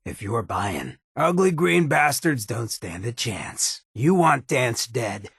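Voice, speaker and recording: An actor playing a roguish, irreverent ghoul in a video game, with a raspy American voice and a wry, cocky, streetwise drawl. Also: male voice, medium pitch, sing-song, takes it slowly, fairly bright tone, no room sound, clean studio sound, exaggerated voice. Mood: blunt, sardonic. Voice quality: raspy, gravelly. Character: roguish drug-loving irradiated ghoul, swaggering, irreverent, loyal. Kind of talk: video game companion dialogue.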